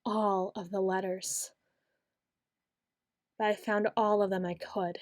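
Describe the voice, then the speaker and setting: A young woman voicing a brooding queen who speaks softly and musingly, close to the microphone, in an ASMR roleplay. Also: medium pitch, little pitch movement, dry recording, close to an everyday voice. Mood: bitter. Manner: soft-spoken, slow and musing, turning bitter. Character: brooding young queen, bitter toward her late father.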